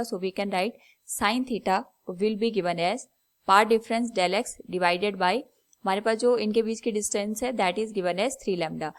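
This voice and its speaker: Indian woman teaching step by step. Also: on the high side. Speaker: physics teacher. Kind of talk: step-by-step lesson explanation, switching between Hindi and English.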